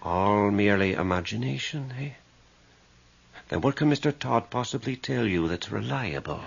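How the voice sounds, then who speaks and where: An actor playing an anxious, principled priest in a radio drama, speaking formally and with stern insistence. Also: male voice, on the low side, animated, normal speed, vocal fry, breathy edge, full character voice. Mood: stern, accusing. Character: anxious, principled priest confronting a stubborn woman. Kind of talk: 1980s radio drama acting. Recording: slightly dull 1980s radio recording.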